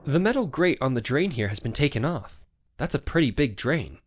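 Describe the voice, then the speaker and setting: A woman voicing a dry, sarcastic young male lawyer with deadpan asides in a video game. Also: a bass voice, moderate pace, heavily acted. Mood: pensive. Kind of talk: scripted game dubbing. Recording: background music throughout.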